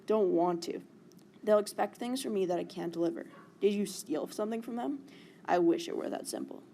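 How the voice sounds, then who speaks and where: A woman's voice: medium pitch, brisk, vocal fry, dark tone, slight background noise, lightly acted. A woman reading the part of a bitter, sarcastic young male fugitive in a storybook. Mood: sullen. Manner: sarcastic, accusing.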